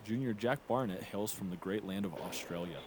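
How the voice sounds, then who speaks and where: A man narrating a sports news package in a measured, storytelling way. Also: lowish voice, background noise. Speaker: sports reporter.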